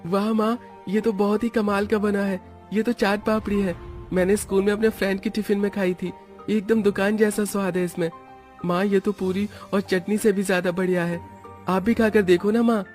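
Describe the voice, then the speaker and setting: A man's voice: high-pitched, little pitch movement, normal speed, darkish tone, voice pushed up, close to an everyday voice. A man voicing an affectionate, eager twelve-year-old son from a poor family in a cartoon story. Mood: delighted. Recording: background music under the voice.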